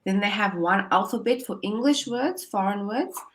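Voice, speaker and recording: A woman's voice: medium pitch, animated, moderate pace. Upbeat woman tutoring on a video call. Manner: upbeat, encouraging, laughs often. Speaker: online English tutor. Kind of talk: casual conversation lesson.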